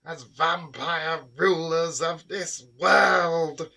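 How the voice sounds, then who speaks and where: A man's voice: fairly even intonation, drawn-out words, creaky, sharp tone, recorded from a distance, heavily acted. A man putting on a grating vampire queen. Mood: gloating. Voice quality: deep and grating. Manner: hissing, cackling. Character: giant female vampire bat queen, cruel.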